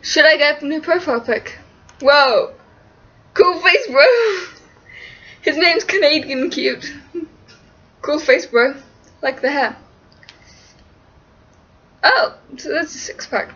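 A woman's voice: sits high, expressive, slow, pauses often, a bit bright. A young English woman from Liverpool, with little of the local accent, answering viewer questions on a webcam in a small room, giggly and scatterbrained. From England, born in Liverpool. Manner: giggly, scatterbrained, talks to her cat in baby talk. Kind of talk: casual webcam Q&A chat. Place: small indoor room, seated against a wall. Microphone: webcam at arm's length. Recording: dull lo-fi webcam sound.

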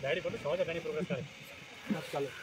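A young man vlogging outdoors in a pine park, chatting and giving quick instructions while setting up a tent. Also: a little high, steady tone, heavy background noise. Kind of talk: casual chat while pitching a tent.

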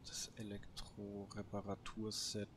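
A man's voice: lowish voice, monotone, a little slow. Man muttering game commentary over noisy ambience. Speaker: gaming video host. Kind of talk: casual solo let's play commentary.

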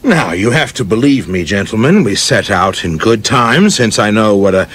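An actor playing a flamboyant young film director in a radio play, theatrical, florid and teasing, with a husky voice. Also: male voice, lowish voice, lively intonation, normal speed, fairly bright tone. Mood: breezy, boastful. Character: flamboyant, grandiose young film director spinning tall tales.

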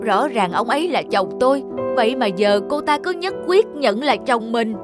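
Lady doing feisty butcher's wife, cartoon, highish scolding voice, music under. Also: animated, moderate pace, natural voice. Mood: accusing. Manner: scolding, insistent. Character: feisty middle-aged butcher's wife, indignant and stubborn. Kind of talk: cartoon voice acting.

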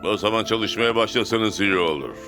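A man's voice: on the low side, moderate pace, music in the background, close to an everyday voice. A man playing a big, stern, no-nonsense football coach in a cartoon. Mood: firm. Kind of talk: cartoon dialogue.

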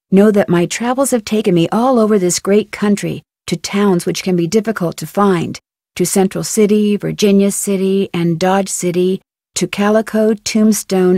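A young woman with an American accent playing a curious, upbeat teenage girl detective in an adventure game, speaking in a clear, inquisitive way. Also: medium pitch, dark tone, no echo, studio quality, natural voice. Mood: reading aloud. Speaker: video game voice actor. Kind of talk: scripted adventure game lines, thinking aloud.

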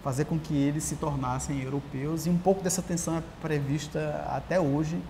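A Brazilian man in his thirties talks as an interview guest, animated and prone to restarting his sentences. He sits in a large empty auditorium, miked on his lapel. The long hall reverb lingers behind him, with a faint hiss. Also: a little high, a little fast. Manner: animated storyteller, frequent restarts. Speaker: writer and journalist, guest. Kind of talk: casual interview talk. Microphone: lapel mic.

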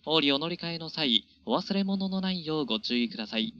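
A man's voice: fairly high pitch, expressive, fast talker, fairly bright tone. A man working as a train conductor, making formal, polite announcements over the train's address system. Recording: dull train PA with faint noise.

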